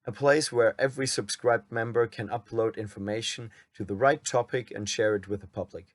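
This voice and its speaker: A man from Germany with a German accent, a channel host reading a prepared script aloud in a measured, somewhat wooden way. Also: lowish voice, steady tone, dry recording. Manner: admits his reading sounds wooden.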